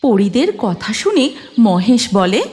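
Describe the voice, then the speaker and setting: A woman's voice: on the high side, big pitch swings, slow, darkish tone. A woman narrating a scripted fairy tale as a storyteller.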